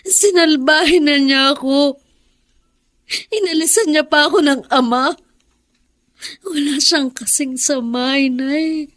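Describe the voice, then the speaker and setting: Young grieving daughter, very high voice, slow tearful delivery, bitter, radio melodrama. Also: female voice, fairly bright tone, dry recording, clean studio sound. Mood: bitter grief. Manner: tearful, anguished. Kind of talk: melodramatic radio drama acting.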